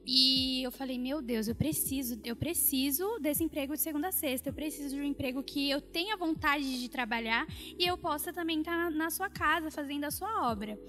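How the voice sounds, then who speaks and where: A young woman in her twenties tells a heartfelt personal story on a church podcast. Her voice sits a little high and she speaks earnestly, pausing on fillers. Soft music runs faintly behind her. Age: 20s. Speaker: guest. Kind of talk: personal testimony.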